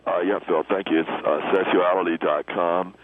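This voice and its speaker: A man's voice: sits low, steady tone, normal speed, voice pushed down, lightly acted. A man voicing a vain, pompous younger American radio guest who pushes his slangy opinions on a comedy show. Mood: smug, earnest. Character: vain, smug younger man, self-styled relationship expert guest. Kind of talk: comic radio interview character. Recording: muffled phone line with loud noise.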